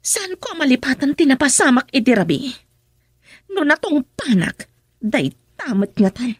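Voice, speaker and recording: High, husky, exasperated young wife. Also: female voice, sing-song, normal speed, no room sound, studio quality, heavily acted. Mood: troubled, complaining. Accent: Ilocano. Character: upset married young woman, Rachel, bitter and jealous. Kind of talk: Ilocano radio drama acting.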